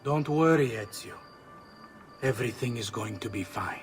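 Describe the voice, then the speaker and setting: Actor as measured, paternal, duplicitous family friend. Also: male voice, mid-range voice, music in the background, close to an everyday voice. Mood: reassuring.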